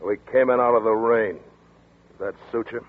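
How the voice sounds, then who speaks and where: An actor playing a tough big-city homicide detective who speaks bluntly and curtly, in 1940s radio acting. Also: male voice, medium pitch, steady tone, moderate pace, natural voice. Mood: terse. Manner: blunt, curt and commanding. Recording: tinny noisy 1940s radio broadcast.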